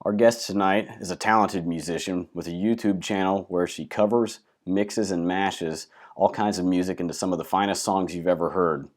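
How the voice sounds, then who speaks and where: A man hosting and telling a folk tale in an unhurried, dramatic storytelling manner. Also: low voice, steady tone, no echo, studio quality. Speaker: host and storyteller.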